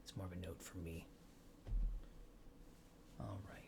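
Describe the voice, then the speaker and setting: A man speaking softly in an ASMR exam roleplay, murmuring hesitant short instructions and sometimes dropping into a near-whisper. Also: low-pitched, completely flat delivery, measured pace. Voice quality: soft, hushed, breathy near-whisper at times. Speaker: ASMR performer playing an examiner.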